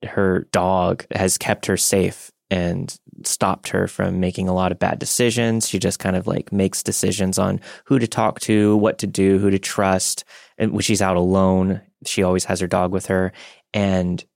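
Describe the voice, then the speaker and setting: Low-voiced man, dry close sound. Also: flat delivery, measured pace, creaky, a little mellow, dry recording. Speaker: podcast co-host. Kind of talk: casual reflective chat, reading listener stories.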